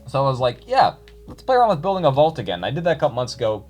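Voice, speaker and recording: A man's voice: medium pitch, huge pitch swings, very fast, darkish tone, soft background music. A young man chatting casually to his viewers, rambling with many fillers and restarts. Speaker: YouTuber reading comments.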